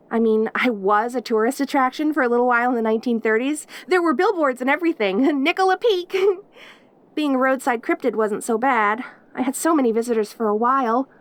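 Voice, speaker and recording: A woman's voice: fairly high pitch, natural voice. A woman voicing a perky, lonely caged demon girl in an intimate audio roleplay, with an eager, rambling, stammering manner. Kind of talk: audio roleplay acting, addressing the listener intimately.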